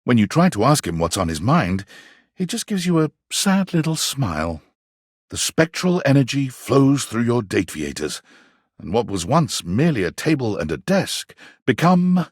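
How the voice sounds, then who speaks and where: A man playing a suave, tender and amused game storyteller, narrating theatrically with a husky voice and savouring his phrases. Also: mid-range voice, big pitch swings, moderate pace, dry recording, studio quality. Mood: tender, intimate. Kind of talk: scripted second-person game narration.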